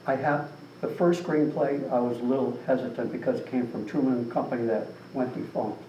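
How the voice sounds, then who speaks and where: A man's voice: mid-range voice, fairly even intonation, a little fast, warm tone, lo-fi sound. A man speaking casually as an author at a meeting, rambling with lots of "you know". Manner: rambling, filler-heavy, with frequent "you know". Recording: distant mic in an echoey room with some noise.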